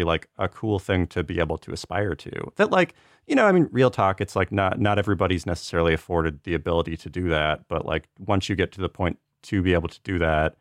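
A man with a deep voice chats as a podcast panelist. The sound is dry, with no room around it. He talks informally, circling and hedging his points with plenty of "like". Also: clear, resonant tone, no echo. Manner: hedging, rambling, peppered with "like". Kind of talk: casual conversational discussion.

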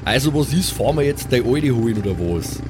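A man's voice: mid-range voice, lively intonation, a little fast, noisy background, close to an everyday voice. A man playing an easygoing, self-satisfied rural drinking buddy with a Bavarian accent in an improvised audio drama. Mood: self-satisfied, teasing. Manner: colloquial, self-satisfied, teasing. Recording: music bed behind the voice.

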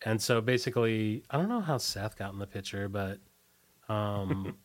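A man with a fairly low voice talks as a guest in a relaxed interview. He recollects in a loose, rambling way, with halting phrasing and frequent false starts. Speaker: interview guest, punk band singer. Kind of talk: casual conversational interview, recollecting.

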